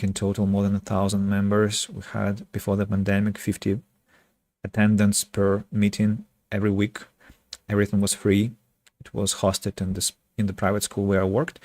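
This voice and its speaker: A man from Russia with a Russian accent chats casually with viewers as a game streamer, speaking reflectively and pausing mid-sentence. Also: on the low side, gravelly, darkish tone, dry recording. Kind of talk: casual livestream chat with viewers.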